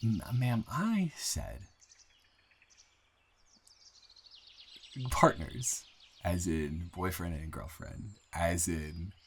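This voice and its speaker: A man playing an earnest, grateful young man shyly confessing love to a friend in an intimate, halting, improvised audio roleplay. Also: low-pitched, very animated, slight background noise, exaggerated voice. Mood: flustered, teasing. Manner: halting, rambling, earnest, breaking into soft laughs.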